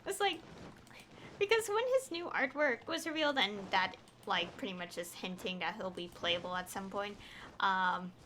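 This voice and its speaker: A woman's voice: medium pitch, lively intonation, moderate pace, bright, forceful tone, slight background noise. A woman game streamer chatting casually and rambling, with an exasperated, opinionated, pleading emphasis. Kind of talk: casual rambling livestream chat.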